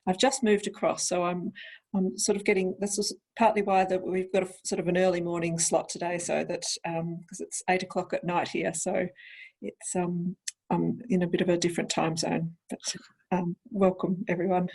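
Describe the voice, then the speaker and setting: A woman's voice: fairly low pitch, level tone, normal speed, vocal fry, a little mellow, husky, poor audio. A woman with an Australian accent introducing herself as a guest speaker on a video call, friendly and a little hesitant.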